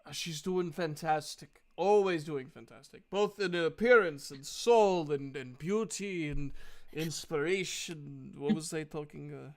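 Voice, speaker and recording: A man voicing an earnest, devoted bard husband who speaks haltingly and sincerely in a tabletop game. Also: a little high, voice pushed down. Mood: earnest, grateful.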